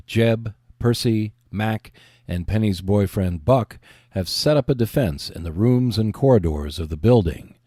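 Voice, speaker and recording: A man's voice: lowish voice, warm tone, no room sound, studio quality. A man hosting a podcast, reading scripted narration.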